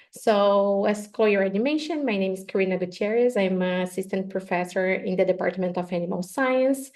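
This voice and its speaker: A woman's voice: medium pitch, vocal fry, darkish tone. A woman in her thirties with a Brazilian Portuguese accent, a native Portuguese speaker, giving a chatty, informal academic webinar talk over a video call. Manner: chatty and friendly, many fillers like "so" and "like".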